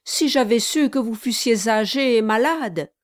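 A woman's voice: on the high side, unhurried, voice pushed up, natural voice. A woman reading aloud, voicing a polite, apologetic young man asking for directions. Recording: dry close audiobook recording.

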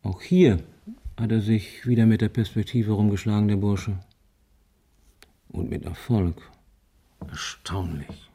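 An actor playing a wry, analytical husband in a radio crime drama, reasoning aloud in a deliberate, musing way. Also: male voice, on the low side, steady tone, dark tone, breathy edge. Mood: wry, grave. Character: wry analytical husband puzzling out a child's grisly drawings.

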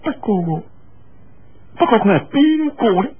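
A man acts out a brooding young swordsman from a martial-arts audiobook. He questions hesitantly in a husky, swinging voice. The sound is slightly muffled. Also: high voice, sing-song, a little slow, pitched above the performer's own voice, exaggerated voice.

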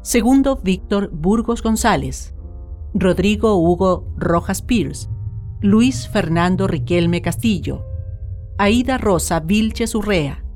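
A woman's voice: mid-range voice, lively intonation, slow pace, a little mellow. A woman with a Chilean accent reads a scripted radio documentary narration in a solemn, formal manner. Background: music.

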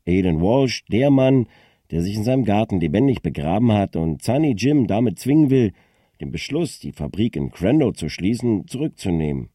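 An actor playing a formal, composed radio phone-in host who prompts and probes, in a radio drama. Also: male voice, lowish voice, very animated, moderate pace, mellow, no room sound, clean studio sound. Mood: composed, pointed. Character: smooth, probing local radio phone-in host.

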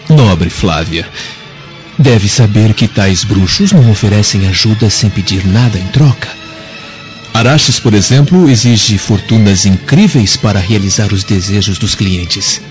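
A man's voice: lowish voice, a little slow, lightly acted. An actor playing a cynical, scheming man of ancient Rome in a radio drama, with formal, courtly, insinuating speech. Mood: sly amusement. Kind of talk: dramatic radio play acting. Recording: dull lo-fi audio with music behind.

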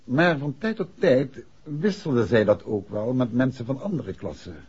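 A shrewd gentleman detective, with a very husky voice that rises and falls widely. He is deliberate and insistent, in an old radio play with muffled sound. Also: mid-range voice, expressive. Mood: probing, insistent. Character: shrewd, assured gentleman detective pressing his point. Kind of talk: 1960s Dutch radio play acting. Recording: dull, muffled vintage radio recording.

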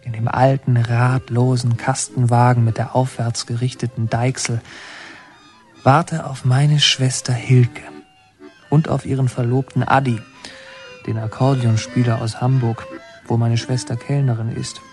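An actor playing a reflective narrator who recalls a northern childhood in a measured, evocative radio drama manner. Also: male voice, mid-range voice, level tone, darkish tone, lightly acted. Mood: wistful, absorbed. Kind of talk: literary radio drama narration, present tense. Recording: music under the voice. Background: music.

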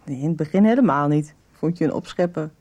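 An actress playing a coy, frank nineteen-year-old in a radio soap, with a hesitant, guarded way of talking. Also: female voice, low voice, fast talker, dark tone. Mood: coy, teasing. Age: young adult. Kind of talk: 1990s Dutch radio soap dialogue.

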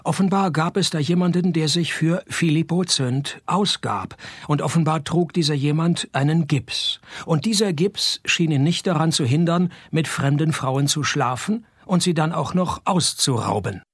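A laconic, cynical private eye in a radio detective play. His voice sits a little high for a man, and he delivers narration and retorts with dry, deadpan flatness. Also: normal speed, breathy edge, dry recording. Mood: deadpan narrating. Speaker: narrator and lead actor.

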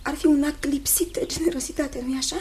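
Radio drama role: sweet young fiancée played by a woman, high voice, earnest and unhappy, tender, hesitant, seeking agreement. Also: fairly even intonation, darkish tone, slight background noise, close to an everyday voice. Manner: tender, hesitant, repeats phrases, seeks agreement. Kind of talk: Romanian radio theatre acting.

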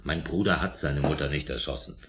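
A man's voice: very deep voice, a little fast, a bit bright. A man acting in a German radio drama as a defensive, indignant suspect under police questioning, emphatic and self-justifying. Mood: defensive, explaining.

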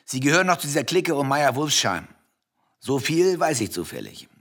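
An actor in a German radio play playing an arrogant, possessive wealthy husband, domineering and contemptuous. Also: male voice, fairly high pitch, very animated, brisk, a bit bright, exaggerated voice. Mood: accusing.

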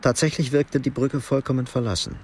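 A man's voice: mid-range voice, steady tone, brisk, darkish tone, slight background noise. Sober male radio drama narrator.

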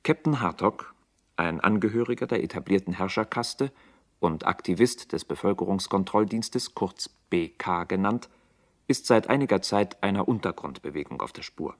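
A man's voice: low voice, expressive, moderate pace. A man reading scripted narration for a radio drama in a sober, formal manner.

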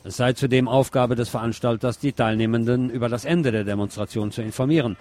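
A man's voice: medium pitch, fairly even intonation, fast, darkish tone. Male radio host over faint music. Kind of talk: spoken radio commentary and news read aloud.

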